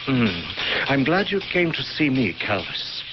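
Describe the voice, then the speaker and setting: Scheming temple priest, old radio drama. Mid-pitched, measured and wary, dull lo-fi sound. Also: male voice, big pitch swings. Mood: smoothly calculating. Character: guarded, calculating high priest of ancient Pompeii, a secret murderer. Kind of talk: theatrical radio drama acting. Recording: noisy, muffled old radio broadcast.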